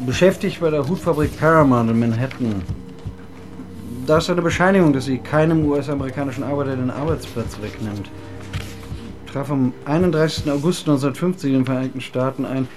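An actor playing a bookish, matter-of-fact archivist in a German radio drama, speaking in terse phrases. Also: male voice, mid-range voice, fairly quick, natural voice. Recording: music behind the voice.

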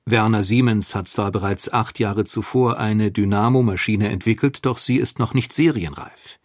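A man reading scripted documentary narration in a measured, explanatory manner. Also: lowish voice, no room sound, clean studio sound.

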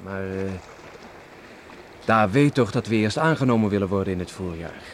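An actor playing a respectful, quietly teasing young farmer and betrothed son in a radio drama. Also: male voice, lowish voice, expressive, moderate pace. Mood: earnest. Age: young adult. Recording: noisy old radio play recording.

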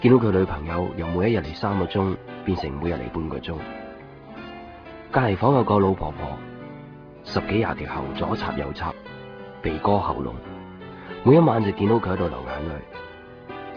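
Brooding young man, deep voice. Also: expressive, moderate pace, long pauses. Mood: reflective, sombre. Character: brooding young man, quietly troubled boyfriend. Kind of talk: Cantonese radio drama narration and dialogue. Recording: dull lo-fi radio recording, music behind.

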